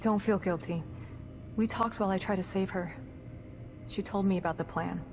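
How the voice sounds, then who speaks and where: An actress playing a composed, caring and brave team psychologist in a video game, explaining things in a reassuring manner. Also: female voice, mid-range voice, steady tone, normal speed, background noise, close to an everyday voice. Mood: consoling. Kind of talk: dramatic video game acting. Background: music.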